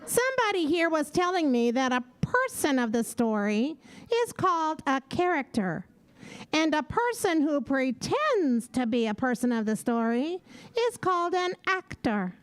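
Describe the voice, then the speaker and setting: An inquisitive little puppet with an extremely high, swooping voice. It is cheeky and naive, made for a children's stage show. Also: female voice, very animated, measured pace. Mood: curious. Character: Lola, curious cheeky puppet character. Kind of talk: comic puppet act for children.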